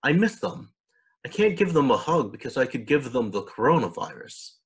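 A man's voice: medium pitch, sing-song, moderate pace, husky, voice pushed up. A man voicing an earnest, caring young child in a storybook, explaining things. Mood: earnest, tender.

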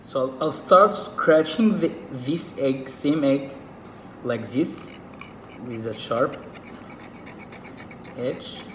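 A man from Egypt with an Arabic accent, whose first language is Arabic. He speaks in a casual, halting way with many fillers, demonstrating something at a table indoors. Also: mid-range voice, lively intonation, reverberant, slight background noise, rough recording. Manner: halting, with frequent fillers and restarts. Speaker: reptile keeper.

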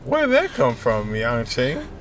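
A man's voice: medium pitch, huge pitch swings, unhurried. A man in his twenties giving casual, slangy reaction commentary on a video game, in a laid-back way. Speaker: gaming content creator. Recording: game music behind the voice.

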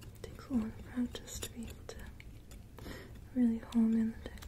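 A woman playing a gentle, attentive dental hygienist in a soft-spoken ASMR roleplay, breathy and whispery, unhurried and reassuring. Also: fairly high pitch, monotone, lightly acted. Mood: calm, musing.

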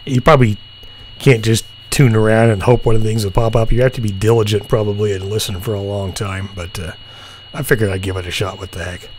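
Low-voiced man, humming background noise. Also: fairly even intonation, a little fast. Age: middle-aged. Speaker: hobbyist video host. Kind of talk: casual chat while demonstrating radio software. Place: small indoor home room. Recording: humming, clearly noisy home recording.

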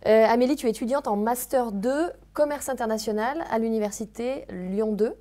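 A woman's voice: a little high, mellow. A woman in her thirties hosting a studio interview, asking questions with frequent hesitations. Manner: brisk questioning with filler hesitations. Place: TV studio set. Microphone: handheld mic.

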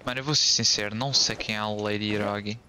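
A man's voice: lowish voice, fairly even intonation, rapid-fire, pushed and bright, slight background noise. A young man giving casual playthrough commentary as a streamer, slipping between languages with English slang. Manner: mixes Portuguese with English slang. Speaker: gaming streamer.

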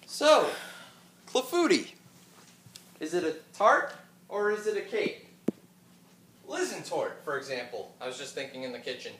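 An actor playing a friendly, placating middle-aged husband on a theater stage. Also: male voice, fairly high pitch, very animated, measured pace, pauses often, noisy background. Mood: chatty. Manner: affable, placating. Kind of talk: stage play acting. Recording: roomy stage sound.

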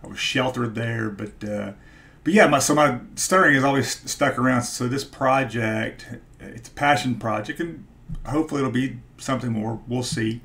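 A man in his forties with a stutter, talking casually to camera in a halting way, searching for his words. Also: medium pitch, creaky, a bit bright. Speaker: YouTube host, stock investor. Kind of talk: casual vlog talk to camera. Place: small home office, indoors.

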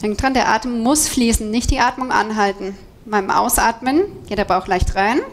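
This is a woman in her thirties with a fairly high voice. She presents as a coach at an indoor event, wearing a headset. Her delivery is that of a motivational talk, explaining things and addressing her listeners directly. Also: steady tone, normal speed. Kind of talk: motivational stage talk to an audience. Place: indoor event room with seated audience. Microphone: headset microphone.

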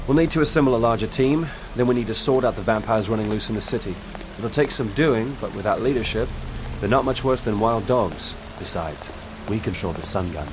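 An actor playing a level-headed advisor and ally in a video game cutscene, earnest and matter-of-fact. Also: male voice, fairly low pitch, brisk, over music, lightly acted. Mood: calm, practical.

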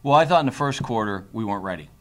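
Middle-aged man, low voice, coach at a press conference, analytical, halting delivery. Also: lively intonation, fairly bright tone. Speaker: basketball coach. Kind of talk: post-game press conference answers. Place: indoor press conference room, seated at a table. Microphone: tabletop microphone.

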